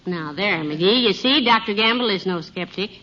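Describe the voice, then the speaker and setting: A woman's voice: medium pitch, lively intonation, moderate pace, pushed and bright. A woman playing a sensible, polite and folksy housewife in an old radio comedy. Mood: genial. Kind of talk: 1950 radio comedy acting. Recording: muffled old radio, audience noise. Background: studio audience.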